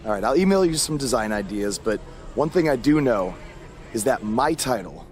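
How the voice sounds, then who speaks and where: A man's voice: medium pitch, animated, moderate pace, a little mellow, lightly acted. An actor playing a defiant, cheeky young male pro wrestler in a video game cutscene. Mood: friendly, casual.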